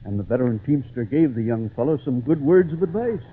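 A male actor as a fatherly old-time radio storyteller. He narrates in a mid-pitched voice, speaking as if to a young son. The recording is tinny, with clear noise. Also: expressive, a little fast, natural voice. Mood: fatherly, storytelling. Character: fatherly male storyteller telling a boy a tale.

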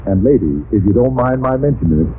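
A man delivers vintage radio comedy patter with a folksy touch and a lively, swinging pitch. The sound is narrow and tinny, like an old broadcast, with faint noise behind him. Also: quick pace. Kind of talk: 1940s radio comedy banter.

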